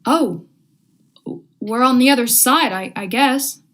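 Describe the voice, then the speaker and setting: A woman's voice: on the high side, slow, a bit bright. A young woman acting an uneasy, grumbling male accomplice in vintage radio drama style, urgent and slangy. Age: young adult. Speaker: student actor.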